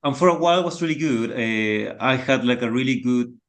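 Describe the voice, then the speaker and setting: Mid-pitched Chilean man in his thirties, Spanish accent, soft and halting guest. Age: 30s. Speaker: podcast guest. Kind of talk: casual reflective conversation.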